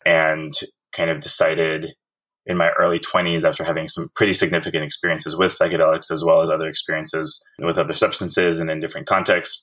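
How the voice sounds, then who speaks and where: A man's voice: deep voice, bright, forceful tone, poor audio. A man in his thirties from Central California, a guest telling his story in a reflective, filler-laden conversational way. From Central California, USA. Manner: reflective, frequent "like" and "kind of" fillers. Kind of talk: casual conversational interview.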